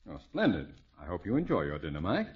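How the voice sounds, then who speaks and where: Haughty rich neighbor, sweeping intonation. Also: male voice, deep voice, huge pitch swings, quick pace. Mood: stiffly polite. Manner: formal, clipped, pompous. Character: haughty, stiff, easily offended rich male neighbor. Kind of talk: 1950s radio sitcom acting. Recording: muffled, noisy old radio recording.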